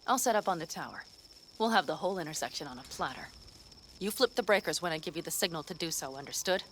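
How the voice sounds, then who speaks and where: An actress voicing a confident female mercenary in a video game, giving quick, commanding orders. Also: on the low side, lively intonation, a bit bright, a little hiss or hum. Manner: brisk, commanding planner. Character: tough female fixer, confident and cocky. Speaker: mercenary ally.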